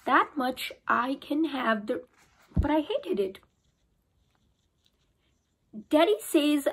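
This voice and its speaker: High-voiced young woman reading a children's story. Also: breathy edge, rough recording. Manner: expressive storytelling, occasional restarts. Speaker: teacher. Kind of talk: children's book read-aloud. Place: small room at home. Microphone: phone camera held close.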